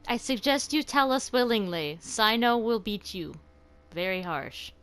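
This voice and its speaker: A woman's voice: on the high side, expressive, a little slow, fairly bright tone, clean tone. A woman gaming streamer giving casual reaction commentary as she plays. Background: game music.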